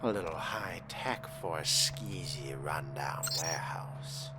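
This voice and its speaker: A man role-playing a vampire character as he plays a game, musing aloud in a deliberate, formal, often hushed manner. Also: a bass voice, very noisy. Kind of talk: in-character roleplay narration of game playthrough.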